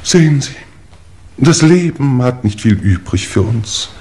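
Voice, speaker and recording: An actor playing a dignified, pompous aging English lord in a 1950s German radio play, with stately, formal delivery. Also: male voice, medium pitch, animated, unhurried, darkish tone. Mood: wistful, grandiose.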